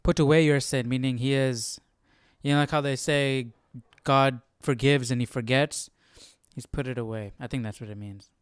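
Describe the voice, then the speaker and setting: A man's voice: mid-range voice, fairly even intonation, normal speed, clean tone, no room sound, faint music behind. A young man hosting a livestream study session, giving informal, slangy commentary as he muses aloud. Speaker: Bible study livestream host. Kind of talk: casual reaction commentary.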